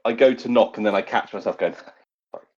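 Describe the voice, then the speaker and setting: A man playing a tabletop role-playing game, improvising in casual, halting talk that often repeats words. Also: lowish voice, a little mellow, slightly rough. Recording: dull, slightly lo-fi recording.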